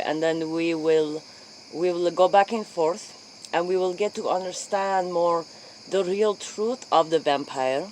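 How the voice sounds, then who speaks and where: Lady doing a slow formal interviewer, halting and repetitive, bit of night noise around. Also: lowish voice, low-quality recording. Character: solemn Eastern European female late-night interviewer. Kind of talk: improvised spoof interview in character.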